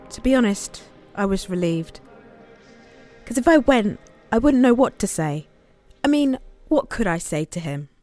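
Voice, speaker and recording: A woman's voice: fairly high pitch, a little mellow, close to an everyday voice. An actress playing the anxious, guilty sister of a missing man in an audio drama, colloquial and self-questioning. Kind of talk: audio drama, confessional monologue and dialogue.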